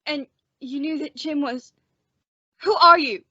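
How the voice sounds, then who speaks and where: A woman's voice: sits high, unhurried, sharp tone, no echo, dull audio. A woman playing a timid, bookish young man made sheriff, with a hesitant, stammering, anxious manner, in an old-time western radio drama. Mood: startled. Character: timid, bookish young male sheriff, nervous insect collector.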